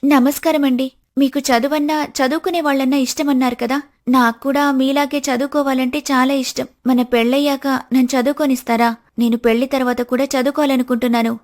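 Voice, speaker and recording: A woman's voice: high voice, little pitch movement, brisk, natural voice. A woman voicing a polite young bride-to-be in a family story, studious, hesitant and earnest. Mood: polite, hopeful.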